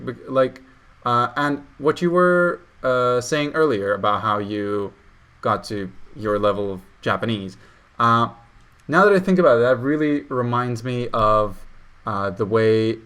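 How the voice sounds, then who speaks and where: A man's voice: medium pitch, fairly bright tone. A young man whose first language is Russian, chatting casually as host, full of fillers and restarts. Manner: hesitant, many fillers and restarts. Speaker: channel host. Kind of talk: casual video call chat.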